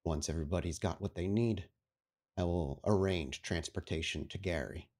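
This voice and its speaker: A man playing a stern, commanding vampire prince in a roleplay game, speaking formally and with measured authority. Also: sits low, a little mellow, close to an everyday voice. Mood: formal, businesslike. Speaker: game master. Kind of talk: tabletop roleplay acting.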